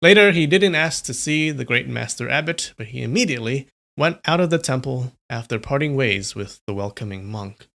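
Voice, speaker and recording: A man's voice: mid-range voice, lively intonation, moderate pace, vocal fry, hardly any pauses, bright, forceful tone. A young man from California reading a novel aloud on a livestream, chatting casually with viewers between passages.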